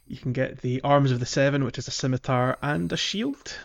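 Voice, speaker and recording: A man's voice: medium pitch, a little fast, vocal fry. A man hosting a casual gaming podcast, chatting playfully through a game walkthrough. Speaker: podcast host.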